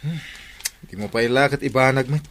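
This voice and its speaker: A man's voice: mid-range voice, brisk, slight background noise, lightly acted. Man acting a mid-voiced, nosy buddy. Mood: teasing, questioning. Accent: Filipino. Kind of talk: Ilocano radio drama acting. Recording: music under the voice.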